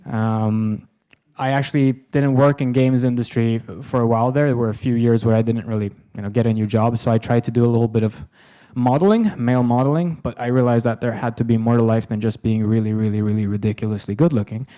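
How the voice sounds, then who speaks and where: Swedish accent guy at a podium, mellow voice, jokey and self-deprecating, lots of ums. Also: medium pitch, fairly even intonation, fairly quick. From Sweden. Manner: self-deprecating, joking, many fillers. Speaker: conference speaker. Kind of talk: lecture presentation. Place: conference hall with audience. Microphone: podium microphone, far camera.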